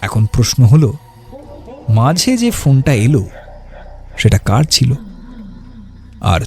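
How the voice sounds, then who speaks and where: A man's voice: medium pitch, very animated, slow pace, a little mellow. A man narrating a scripted horror story in a dramatic, suspenseful storytelling style. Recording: music behind the voice. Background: music.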